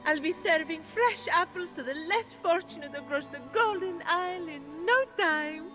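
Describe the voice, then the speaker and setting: A woman's voice: very high-pitched, normal speed. A woman voicing a sweet, reformed goddess trapped as a tree in a video game, gracious and theatrical, with saintly sincerity. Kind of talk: video game character acting. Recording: music behind the dialogue.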